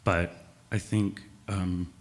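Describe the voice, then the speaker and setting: A man, a songwriter, talking haltingly and self-deprecatingly on stage about his song. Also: low-pitched, flat delivery. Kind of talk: casual onstage talk. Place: concert stage.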